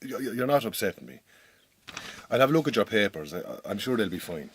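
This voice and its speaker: Radio drama: Irish farmer boss, lowish voice, very animated intonation, awkward, well-meaning, blunt yet hesitant. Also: male voice, a little fast, breathy edge, no echo. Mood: conciliatory. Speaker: actor in an audio drama.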